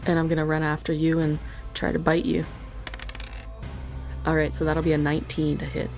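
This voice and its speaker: A woman with a slightly low voice takes part in a tabletop role-playing game. She calls rolls and describes actions in casual speech, over faint music. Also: completely flat delivery, brisk, darkish tone. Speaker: guest player controlling the monster. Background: music under the voice.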